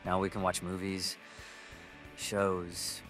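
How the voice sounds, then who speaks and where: A man voicing a laid-back teenage slacker dinosaur with a relaxed drawl in a visual novel. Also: deep voice, slow, over music.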